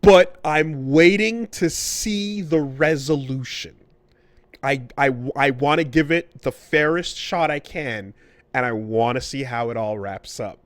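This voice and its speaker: A man with a mid-pitched voice in a dry, close sound chats casually as a podcast co-host. He is measured and hesitant, repeating words as he thinks things through. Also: no room sound. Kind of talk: casual chat about video games.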